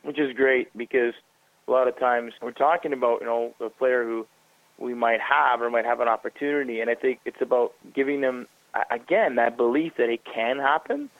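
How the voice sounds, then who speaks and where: A man's voice: medium pitch, moderate pace, no echo. A man in a casual radio chat rambles through long points, stacking fillers and restarting his sentences. The sound is dry and tinny, with no room around it.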